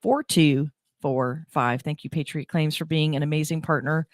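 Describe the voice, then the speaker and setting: A woman's voice: low-pitched, fairly even intonation, moderate pace, no echo. Woman radio host, stuffy nose, chatty, dry close mic. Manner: chatty, upbeat. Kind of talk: community radio talk show.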